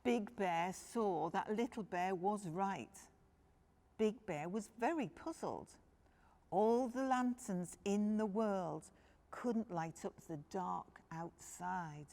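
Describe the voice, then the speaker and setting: Creaky-voiced woman reading a children's story. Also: mid-range voice, slow. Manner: animated, addressing children directly. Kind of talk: expressive picture-book reading for young children.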